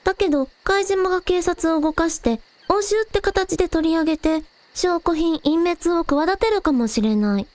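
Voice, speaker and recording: A woman's voice: extremely high pitch, normal speed, hardly any pauses, a little mellow, no echo. A woman playing a spirited, caring young village girl who leads her team, casual and earnest, in a radio drama. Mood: scheming.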